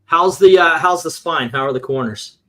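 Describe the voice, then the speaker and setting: A man's voice: on the high side, normal speed, a crowd in the background. Casual, blunt man talking shop. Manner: blunt, swearing. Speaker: comics show host and shop dealer. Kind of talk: casual chat.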